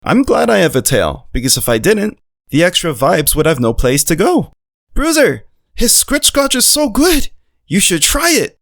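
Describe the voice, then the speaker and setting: An actor voicing an eager, wide-eyed, food-loving dog in an animated visual novel story. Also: mid-range voice, moderate pace, no echo, studio quality. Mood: delighted. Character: Meatball, excitable, naive, friendly, food-loving male dog narrator.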